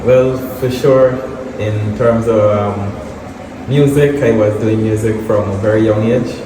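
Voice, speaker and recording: A young man with a Guyanese accent, talking about his music as an interview guest, relaxed and halting with many fillers. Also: on the low side. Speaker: guest, soca artist. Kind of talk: casual interview. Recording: echoey room, distant mic, noisy.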